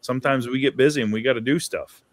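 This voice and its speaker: A man's voice: medium pitch, fast, no room sound. A man from Maine chatting casually about his video channel, an easygoing storyteller full of "you know" and "yep". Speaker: host. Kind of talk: casual chat.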